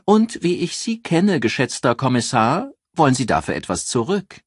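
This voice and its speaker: A man's voice: mid-range voice, wildly swooping pitch, normal speed, husky, no room sound, clean studio sound, voice pushed up, full character voice. A man voicing an exuberant, teasing female newspaper editor with a throaty tone in a crime audiobook. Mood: shrewd, playful. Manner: teasing, flirtatious, mock-formal.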